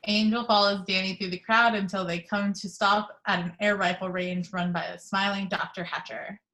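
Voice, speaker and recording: A woman reads stage directions at a table read in a mid-pitched voice. Her delivery is even and measured. She is heard over a video call. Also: poor audio. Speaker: narrator reading stage directions. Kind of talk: reading action description.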